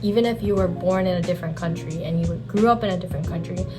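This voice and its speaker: A woman's voice: lowish voice, fast talker. Candid, chatty Japanese-born woman vlogger. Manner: candid, chatty, uses "like" often. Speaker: vlogger, handywoman. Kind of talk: casual reflective monologue. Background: background music.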